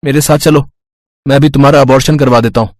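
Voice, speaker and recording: A man's voice: mid-range voice, steady tone, quick pace, no echo, studio quality, close to an everyday voice. A man playing a cocky, manipulative schoolboy boyfriend in a dramatized story, casual, wheedling and dismissive. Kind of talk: dramatized Hindi moral story acting.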